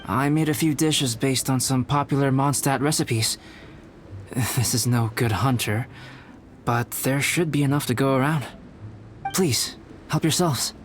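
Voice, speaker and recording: A man playing a reserved, polite young alchemist in a video game, speaking in a measured, formal manner. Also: mid-range voice, slight background noise, lightly acted. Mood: hospitable. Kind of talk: video game character acting. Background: music.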